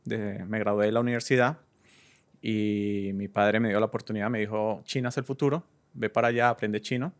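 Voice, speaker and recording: A Colombian man from Cúcuta in his thirties, answering interview questions in an easygoing, hesitant way with many pauses. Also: fairly low pitch, fairly even intonation. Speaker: guest DJ and entrepreneur.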